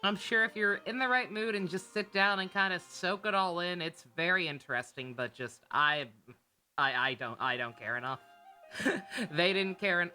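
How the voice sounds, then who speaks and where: Female game streamer: lowish, bright voice, casual, sarcastic, sweary off-the-cuff commentary. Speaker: livestreamer. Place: indoor game streaming setup, game music behind.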